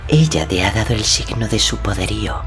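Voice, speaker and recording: A man voicing a scheming, seductive sorceress who flatters with servile, coaxing, hushed words in an audiobook fantasy tale. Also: on the low side, over music, voice pushed up, natural voice. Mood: insinuating, flattering. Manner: slow, hushed, coaxing delivery.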